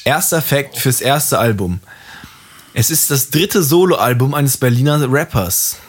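A man hosts a quiz on a casual podcast, reading each fact out in a measured, even way. His voice sits in a middle range. A faint noise runs underneath the recording. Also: medium pitch. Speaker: quiz host.

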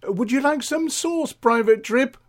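Man as a high, lilting, fussy waitress. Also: measured pace. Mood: coaxing. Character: fussy, attentive canteen waitress, sweetly insistent.

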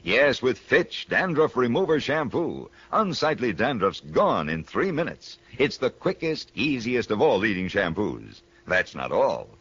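Husky, animated American radio announcer man. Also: mid-range voice, unhurried, few pauses. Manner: emphatic, polished salesman delivery. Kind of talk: 1950s radio commercial and announcing. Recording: muffled old radio broadcast.

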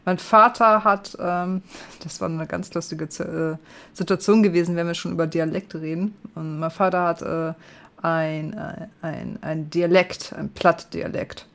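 A man's voice: fairly high pitch, fairly even intonation, measured pace, creaky, fairly bright tone. Hesitant northern German man chatting. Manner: hesitant, many fillers, laughs often. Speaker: podcast host. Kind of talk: casual chat.

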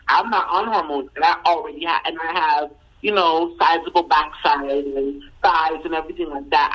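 A woman in casual conversation as a guest, who repeats her phrases and fills pauses with "you know.". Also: sits low, dull audio.

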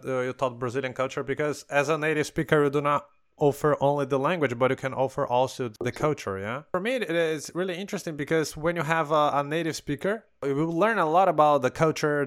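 A man's voice: fairly high pitch, fairly bright tone. A man hosting a casual interview in non-native English, explaining his points and often restarting words. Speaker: podcast host.

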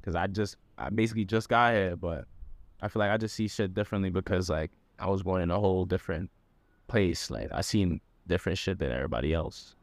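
A man's voice: sits low, a little fast, dry recording. Laid-back young man from Jamaica. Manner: laid-back, slangy, says "bro" often. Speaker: member of a creative group. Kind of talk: casual group conversation.

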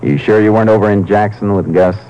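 An actor playing a calm, firm Texas Ranger in a 1950s radio crime drama, terse and dryly persistent. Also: male voice, on the low side, close to an everyday voice. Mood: suspicious, probing. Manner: terse, unhurried questioning, dry. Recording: muffled, hissy 1950s radio recording.

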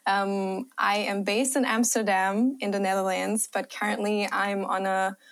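A young woman with a light German accent, a cycle coach calmly explaining her work in a friendly way as a guest on a podcast. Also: mid-range voice, unhurried. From Thailand and Germany. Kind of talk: podcast interview answers.

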